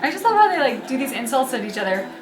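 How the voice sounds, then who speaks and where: A woman's voice: high-pitched, sing-song, fast. A woman giving casual reaction commentary as a host, with wry, dry understatement. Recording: echoey room with game music.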